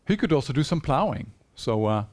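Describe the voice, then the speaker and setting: A man's voice: mid-range voice, big pitch swings, brisk, a little mellow. Brief: male conference speaker on stage, mid-range voice, earnest and persuasive, speaks into a stage mic. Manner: earnest, persuasive, poses rhetorical questions to the audience. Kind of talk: conference presentation. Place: conference hall.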